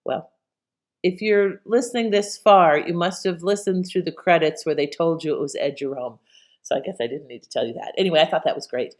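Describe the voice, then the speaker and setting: A woman hosts with casual commentary in a slightly low voice. She is chatty and conversational, speaking appreciatively as she shares her thoughts. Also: darkish tone. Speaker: host.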